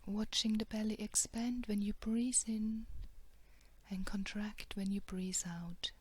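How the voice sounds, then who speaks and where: A woman guiding a body scan meditation in a soft, hushed, measured way with long pauses. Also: medium pitch, flat delivery, husky. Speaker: meditation guide.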